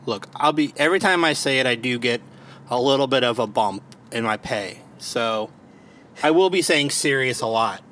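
A man's voice: mid-range voice, animated, moderate pace, sharp tone, slight background noise. A man with a Baltimore accent hosting a casual podcast, joking and swearing freely. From Maryland. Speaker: podcast host. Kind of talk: casual chat. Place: parked car. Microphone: home podcast mic.

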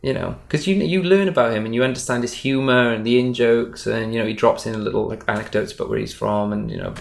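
A man speaking casually as an interviewed stage magician, emphatic and animated. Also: mid-range voice, fast, gravelly. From Wales. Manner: animated, emphatic, acts out imagined dialogue.

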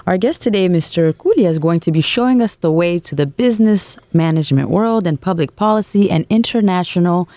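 A woman's voice: fairly low pitch, lively intonation, a little fast, few pauses, dark tone, no room sound. A woman hosting a talk show, welcoming listeners and guiding the guest with friendly questions and frequent affirmations. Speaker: host.

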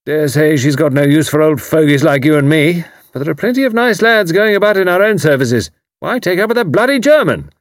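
A man voicing a hearty, blustering older British commander with an upper-class English accent and a loud, barking, clipped delivery in an audiobook. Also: a little high, lively intonation, fairly quick, no room sound, studio quality, pitched above the performer's own voice, natural voice. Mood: blustering, indignant. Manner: bluff, emphatic, clipped phrasing.

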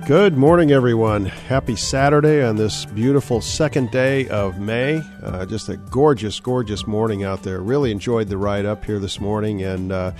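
A middle-aged man hosting a radio talk show, a little hoarse from a cold, talking with many "uh" fillers and restarts. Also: on the low side, darkish tone. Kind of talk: live radio show opening monologue. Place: radio studio. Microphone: studio microphone.

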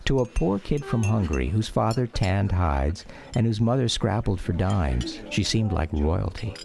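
A man narrating a scripted memoir for a radio drama in a measured, reflective storytelling manner. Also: fairly low pitch, lively intonation, creaky. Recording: music bed under narration.

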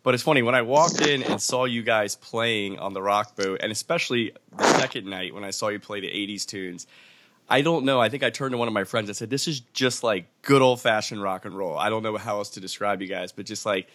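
A man's voice: lowish voice, brisk, a bit bright, a little hiss or hum. A man hosting a podcast, chatting casually and hesitantly with many fillers. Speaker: podcast host. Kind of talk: casual interview chat.

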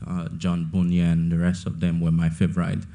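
Young man, from Nigeria, deep low voice, mellow and even, thoughtful, restarts phrases, author at a live interview. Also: fairly quick. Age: 20s. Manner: thoughtful, repeats words as he gathers thoughts. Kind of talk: onstage interview.

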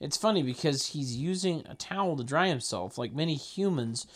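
A man narrating a script's stage directions at a table read, with deadpan, mocking asides. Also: on the high side, moderate pace.

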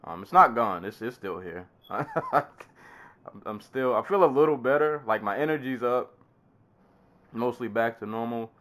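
A man's voice: mid-range voice, expressive, measured pace, fairly bright tone. A man hosting a casual podcast while congested with a summer cold, laughing and coughing often. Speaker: podcast host.

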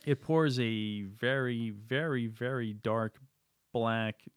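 A man's voice: medium pitch, slow pace, clean tone, dry recording. A man with a mid-range voice and a dry, sarcastic way of talking, chatting casually as a co-host. His voice is close and dead, with no room sound at all. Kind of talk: casual chat.

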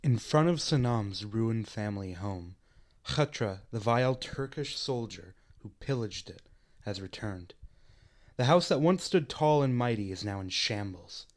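A man's voice: fairly low pitch, expressive, a little slow. A man reading scripted stage directions and scene descriptions as the narrator of a radio play.

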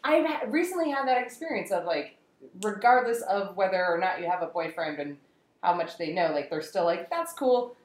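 A woman chatting casually on a podcast, enthusiastic and quick to laugh. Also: medium pitch, expressive. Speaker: podcast co-host, guest with a dilemma. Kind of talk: casual chat. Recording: echoey room.

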